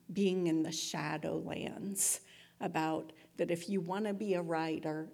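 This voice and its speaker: A woman giving an inspirational talk to her community in a measured, reflective, earnest manner, with a husky, creaky voice. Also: on the low side, darkish tone.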